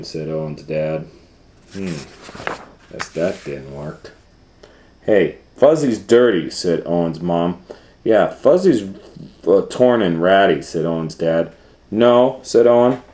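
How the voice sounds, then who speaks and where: Low, slow man reading a children's book. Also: echoey room. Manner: animated, swings from hushed whispers to loud excited voices. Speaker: storytime reader. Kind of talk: reading a picture book aloud.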